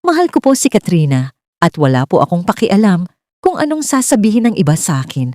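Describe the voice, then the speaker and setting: A woman's voice: mid-range voice, sing-song, a little fast, a little mellow, no echo, clean studio sound, natural voice. A woman voicing a respectful male suitor who defends his love in a serious, polite and direct manner. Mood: earnest, determined.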